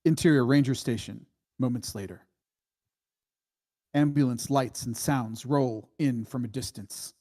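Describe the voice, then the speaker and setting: A man narrates screenplay stage directions at a slow pace. His voice is mid-pitched, with a measured, matter-of-fact delivery. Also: warm tone.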